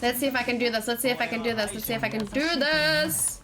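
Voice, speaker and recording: A woman giving casual, excitable let's play commentary, talking to herself and repeating words in quick bursts. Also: on the high side. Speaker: gaming let's play host.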